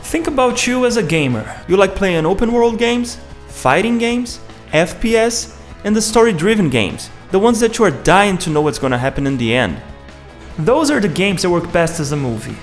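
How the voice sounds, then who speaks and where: High-pitched man narrating a video essay. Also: very animated, moderate pace. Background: music.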